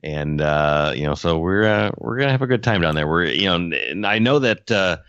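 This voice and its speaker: A man chatting casually on a podcast as a car shop owner, with a halting, easygoing way of talking. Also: low-pitched, animated, a bit bright, clear, resonant tone, dry recording. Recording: dull, muffled, close dry sound.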